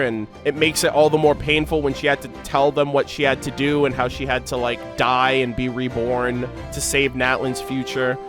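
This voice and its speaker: A man streaming his reaction to game lore, reading story text aloud and commenting casually in an emotive, slangy way. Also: on the high side.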